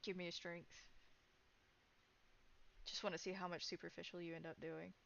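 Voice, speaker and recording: A woman's voice: fairly low pitch, level tone, moderate pace, vocal fry, fairly bright tone, highs cut off. A woman running a tabletop horror game as game master, narrating what happens to the players.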